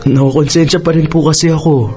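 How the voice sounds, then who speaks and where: A man's voice: on the high side, steady tone, fast, mellow, lightly acted. A man with a Filipino accent playing a deferential, troubled younger brother in a radio drama, hesitant and pleading. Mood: apologetic, earnest. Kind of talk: Tagalog radio drama acting. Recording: music bed under voice.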